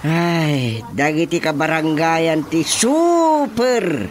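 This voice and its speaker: A man's voice: fairly high pitch, animated, extremely slow, a crowd in the background. A man playing an exasperated, dutiful village chairman in an Ilocano radio drama comedy, grumbling wearily with an Ilocano accent. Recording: noisy background ambience, vehicle sounds.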